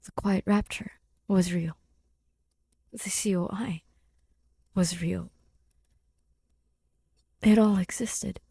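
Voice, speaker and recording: A woman reading fiction as an audiobook narrator, in a hushed, deliberate and dramatic manner. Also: mid-range voice, steady tone, gravelly, slightly rough, no room sound, clean studio sound. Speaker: fan fiction podfic reader.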